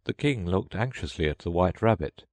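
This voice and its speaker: A man reads a children's story as narrator, from a script. His voice is deep with widely varied intonation, recorded very dry. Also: lively intonation, normal speed, darkish tone, dry recording.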